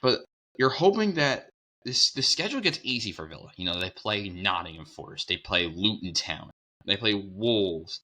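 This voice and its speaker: A young man with a hoarse voice from a cold, hosting a casual sports preview show, rambling and correcting himself as he goes. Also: on the low side, sing-song, moderate pace, sharp tone. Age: young adult. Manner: rambling, self-correcting, upbeat.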